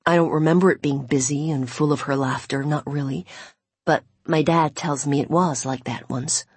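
Woman voicing a wistful, sincere man, low-pitched. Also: fairly even intonation, normal speed, slightly rough, no room sound. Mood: wistful, subdued. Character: friendly American man, wistful, playful, newly smitten.

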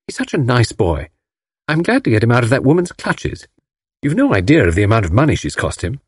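A man voicing a lively, cheeky young woman who teases playfully. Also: mid-range voice, fairly quick, husky, no room sound, studio quality. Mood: teasing. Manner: bright, playful, teasing.

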